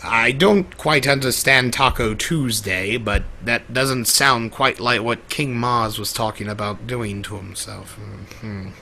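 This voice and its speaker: A man playing a centuries-old male trickster from a pony world, talking to an audience in a theatrical, flamboyant, rambling way with a gravelly, husky voice. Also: medium pitch, slow pace, few pauses, bright, forceful tone, full character voice. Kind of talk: live roleplay monologue to an audience.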